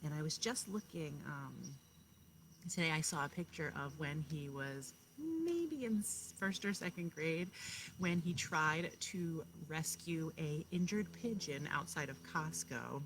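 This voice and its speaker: A woman kindergarten teacher talking and reading aloud to young children, speaking to them directly and pausing to explain and ask questions. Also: low voice, expressive, a little hiss or hum.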